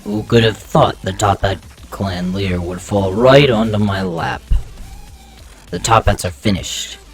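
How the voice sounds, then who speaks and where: A man voicing a menacing, devoted cyborg henchman in a comic dub, with a gravelly voice and a grave, deliberate delivery. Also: fairly low pitch. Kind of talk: amateur comic dub acting. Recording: music under the voice.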